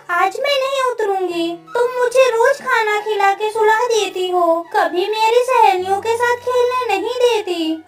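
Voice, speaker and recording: A woman voicing a spirited, stubborn seven-year-old village girl in a Hindi children's cartoon, with a pouty, insistent delivery. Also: very high-pitched. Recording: roomy, slightly distant sound, faint music.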